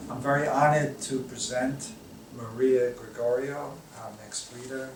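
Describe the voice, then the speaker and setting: A man hosting a poetry reading and reciting, with a deliberate, halting delivery. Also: mid-range voice, steady tone. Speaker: host and poet at a poetry reading. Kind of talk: live poetry reading and spoken introductions. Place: indoor poetry reading, recorded from a distance. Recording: echoey room, distant mic, faint noise.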